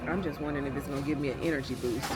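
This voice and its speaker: A woman's voice: a bass voice, fairly even intonation, racing. An American woman in her late thirties chatting casually and slangily to her viewers like friends, as a lifestyle vlogger. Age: late 30s. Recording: loud music behind the voice. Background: loud background music.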